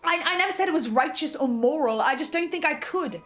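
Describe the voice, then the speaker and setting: Hesitant, higher-voiced woman acting a nervous young researcher. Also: expressive, brisk. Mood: earnest. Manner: hesitant, stammering, repeating words. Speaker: host of a listen-along show. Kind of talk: naturalistic conversational audio-drama acting.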